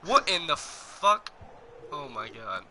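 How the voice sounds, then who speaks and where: A man's voice: fairly high pitch, very animated, slow pace, sharp tone. A young man chatting and teasing his friend while playing a game, laughing often. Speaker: gaming friend. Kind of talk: casual chat. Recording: noisy voice chat audio.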